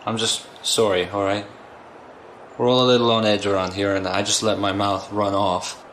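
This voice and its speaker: Low-voiced, earnest buddy. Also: male voice, little pitch movement, moderate pace, a bit bright, a little hiss or hum. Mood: earnest, contrite. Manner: earnest, informal. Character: apologetic, loyal brotherly male friend. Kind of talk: audio drama acting.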